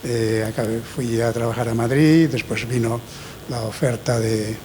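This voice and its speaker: A man's voice: mid-range voice, fairly even intonation. A man in his sixties from Barcelona speaks as a former handball player. He reminisces with gratitude and keeps restarting his phrases. Faint noise runs in the background. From Barcelona, Spain. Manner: reminiscing, grateful, with halting restarts.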